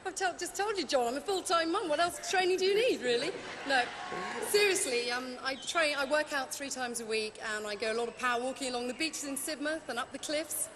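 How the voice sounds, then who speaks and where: A woman's voice: sits high, fairly quick, noisy background. A woman game show contestant answering in a jokey, cheeky way. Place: large indoor arena.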